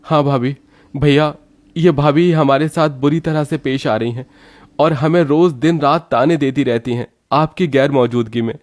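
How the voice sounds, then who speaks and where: A man's voice: fairly high pitch, moderate pace, voice pushed up, natural voice. A man as an earnest, troubled younger brother. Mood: earnest pleading. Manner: earnest, emphatic. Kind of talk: Hindi cartoon story acting.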